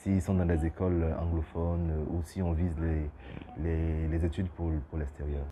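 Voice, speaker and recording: Deep-voiced man, hesitant interview talk. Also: little pitch movement, normal speed, loud music behind the voice. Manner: hesitant with restarts, then emphatic. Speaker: businessman, interviewee. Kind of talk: interview testimony.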